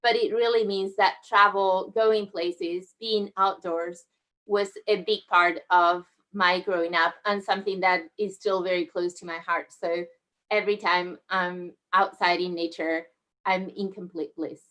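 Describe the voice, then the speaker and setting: A middle-aged woman from southern Spain giving a friendly, measured career talk over slides in an online session, with frequent fillers. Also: fairly low pitch, fairly even intonation, a bit bright, low-quality recording. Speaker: guest speaker. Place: online video call.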